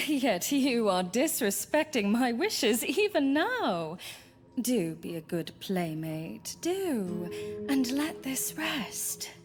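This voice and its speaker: A woman playing an archly amused, haughty spiritualist in a visual novel, with pompous, old-fashioned formal diction. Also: a little high. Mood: teasing refusal. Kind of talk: scripted visual novel character acting.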